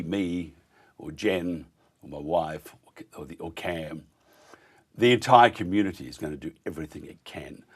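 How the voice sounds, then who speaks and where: Hoarse Australian radio host ranting. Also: male voice, fairly low pitch. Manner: ranting, blunt, mocking put-on voices. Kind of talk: live talk radio.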